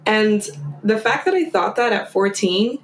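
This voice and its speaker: A woman's voice: medium pitch, lively intonation, a little slow, roomy sound, a little hiss or hum, poor audio. A woman from Germany, a guest in a casual conversation, who talks in a chatty, rambling way full of fillers.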